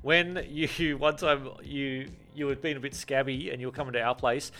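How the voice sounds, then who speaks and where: A man's voice: medium pitch, steady tone, normal speed, bright, forceful tone. A man with a nasal voice, chatting casually as a podcast guest and teasing his brother. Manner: teasing, laughing storyteller. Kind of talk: casual chat.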